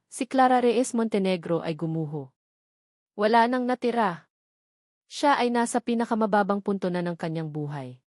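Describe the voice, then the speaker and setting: A woman's voice: mid-range voice, a little mellow, dry recording, clean studio sound. A woman reading a scripted story as a dramatic narrator.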